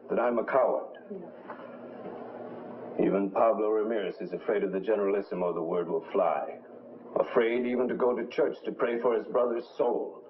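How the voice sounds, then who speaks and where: An actor playing a dignified, solemn Latin American president in exile, speaking formally in a 1950s film drama. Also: male voice, medium pitch, slight background noise, natural voice. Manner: formal, grave, eloquent. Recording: muffled old film soundtrack.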